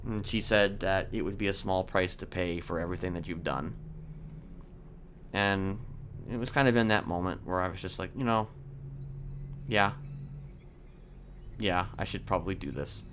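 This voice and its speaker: A man in a game roleplay voicing a calm, sinister criminal manipulator who speaks haltingly and deliberately in formal words. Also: low voice, a little hiss or hum. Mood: measured, confessional. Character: soft-spoken sinister male criminal manipulator, calmly confessing. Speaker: roleplay streamer. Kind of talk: improvised in-character game roleplay.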